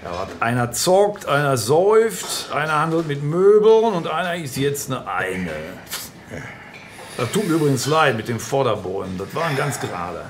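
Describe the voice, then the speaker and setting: An actor playing a hearty, blunt local politician with a blustery, colloquial manner in a crime radio drama. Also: male voice, medium pitch, very animated, moderate pace, slight background noise, lightly acted. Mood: blunt, scornful.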